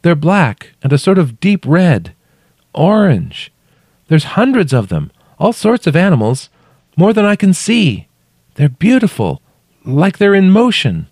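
Man voicing a high, dazed trapped woman. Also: sing-song, no echo, clean studio sound. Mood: awestruck. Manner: calling out from a distance in short halting phrases. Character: trapped injured woman calling up from a cave, awestruck, dazed.

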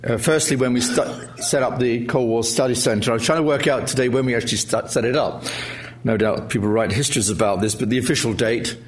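A man's voice: mid-range voice, steady tone, brisk. A middle-aged man chairing a lecture evening, introducing the speaker with wry jokes and many hesitant fillers. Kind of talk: formal introduction speech with jokes. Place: lecture hall with audience.

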